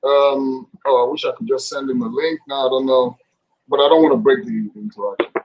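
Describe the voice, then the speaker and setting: A middle-aged man, a guest actor chatting casually on a video call, relaxed and jokey. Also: medium pitch, a little fast, highs cut off. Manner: relaxed, laughs often, jokey. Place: indoors, room with curtains. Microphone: webcam mic on video call.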